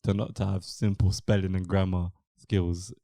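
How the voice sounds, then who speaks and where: Low-voiced, flat English young man. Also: normal speed, no echo, clean studio sound. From England. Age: young adult. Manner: relaxed, rambling, many fillers. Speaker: podcast host. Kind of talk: casual podcast chat.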